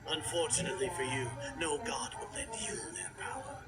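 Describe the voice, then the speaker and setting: A man's voice: a little high, big pitch swings, a little fast, recorded from a distance. An actor playing a scheming, arrogant male grand sage who gives cold orders. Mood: scornful. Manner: cold, commanding. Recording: game cutscene, loud music behind.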